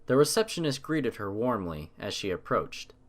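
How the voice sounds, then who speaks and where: A man's voice: lowish voice, big pitch swings, normal speed. A man narrating a scripted story with a dramatic storytelling manner.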